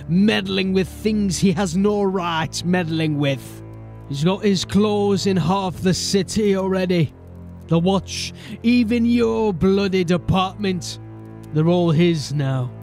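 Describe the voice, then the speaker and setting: Guy acting a dying anarchist man, weak n coughy, slow gravelly delivery, bitter urgent pleading, fantasy audio drama. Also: sits high, a little mellow, full character voice. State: weak, coughing, labouring for breath.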